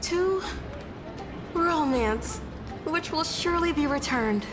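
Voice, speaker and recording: A shy, earnest young female bard from an anime game. Her voice is extremely high and girlish, with an excitable temper. Music plays clearly behind her. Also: takes it slowly. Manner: shy stammer.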